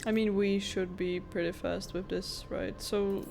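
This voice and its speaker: A woman's voice: medium pitch, fairly even intonation, a little slow, creaky, background noise. A woman giving casual commentary on a video game she is playing, musing informally as she goes. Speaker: gamer commenting on a playthrough.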